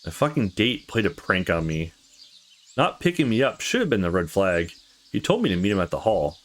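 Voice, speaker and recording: A man's voice: deep voice, sing-song, normal speed. A man voicing a playful, blunt young woman with slangy speech in a visual novel game. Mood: bitter.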